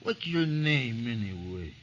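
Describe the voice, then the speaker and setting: An actor playing a drunken, belligerent American frontier farmer in an old-time radio western, needling and boastful. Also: male voice, medium pitch, big pitch swings, a little slow, fairly bright tone. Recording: dull 1950s radio recording.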